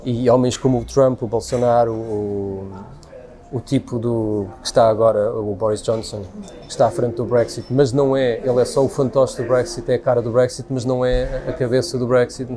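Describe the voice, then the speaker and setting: A man's voice: medium pitch, level tone, moderate pace, warm tone. A man in his forties with a European Portuguese accent, speaking as an interview guest in a busy café and thinking aloud with frequent restarts. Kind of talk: casual conversational interview. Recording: café crowd noise behind. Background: café crowd chatter.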